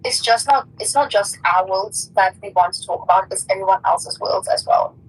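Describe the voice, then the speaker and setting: A woman's voice: mid-range voice, normal speed, breathy edge, low-quality recording. A woman co-hosting a podcast, chatting casually over an online voice call. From Africa. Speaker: podcast co-host.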